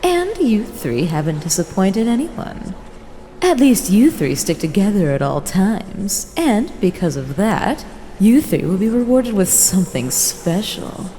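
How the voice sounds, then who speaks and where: A woman's voice: medium pitch, very animated, measured pace, warm tone. A woman voicing an imperious vampire elder who addresses her clan in a formal, haughty, scolding manner in a fantasy role-play drama. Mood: regal approval. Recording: long hall reverb, faint noise.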